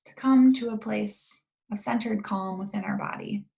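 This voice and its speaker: A woman leading a guided meditation in a calm, gentle, unhurried way with long pauses and a creaky voice. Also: mid-range voice.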